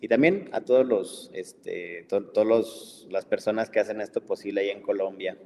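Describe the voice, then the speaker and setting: A man hosting an online talk in a relaxed, conversational way, with lots of filler words. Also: on the low side, moderate pace, vocal fry. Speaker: webinar host. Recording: long reverb, faint background noise.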